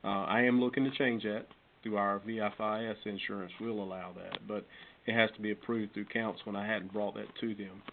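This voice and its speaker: A man's voice: lowish voice, little pitch movement, brisk, creaky, narrow, tinny audio. A man answering questions in a budget meeting in an explanatory, matter-of-fact way, as a fire chief. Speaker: fire chief reporting to council. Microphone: conference call. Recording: phone-band conference call, noisy, clicks.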